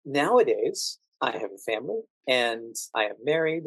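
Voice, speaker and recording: An American man speaking as an interview guest, telling anecdotes conversationally with drawn-out emphasis on key words. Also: mid-range voice, very animated, husky, dry recording, rough recording. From United States. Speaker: podcast guest, actor working as a standardized patient.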